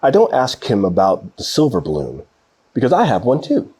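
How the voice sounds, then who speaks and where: Husky, animated male storyteller. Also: medium pitch, normal speed. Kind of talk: expressive children's picture book read-aloud.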